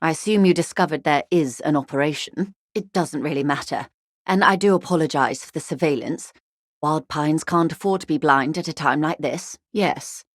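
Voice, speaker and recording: A woman's voice: deep voice, moderate pace, no room sound, studio quality. A woman playing a poised, wealthy, shrewd corporate negotiator in a video game, speaking formally and with polite, guarded care. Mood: measured, courteous. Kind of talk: video game character dialogue.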